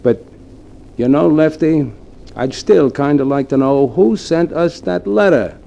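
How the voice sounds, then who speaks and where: Creaky-voiced 1940s gangster henchman. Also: a little high, unhurried, dark tone, highs cut off. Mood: musing. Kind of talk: 1940s radio crime drama acting. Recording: old radio broadcast, faint noise.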